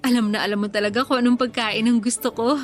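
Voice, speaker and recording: Female lead for radio drama: woman playing devoted, insecure girlfriend, fairly high voice, light music underneath. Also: fairly even intonation, quick pace, close to an everyday voice. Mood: tender, happy. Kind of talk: Tagalog radio drama acting.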